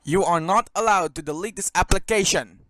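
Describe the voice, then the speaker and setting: A man's voice: high-pitched, a little fast, fairly bright tone, no room sound. A man voicing a smug, bossy operating system assistant that taunts its user in a cartoon skit. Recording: heavy noise behind the voice.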